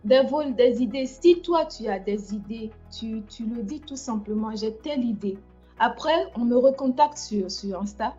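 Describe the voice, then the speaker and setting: Livestream host, woman, slightly high and mellow, halting delivery full of "euh", dull lo-fi audio. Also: faint music behind. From France. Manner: hesitant, many fillers and restarts. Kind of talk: casual livestream chat.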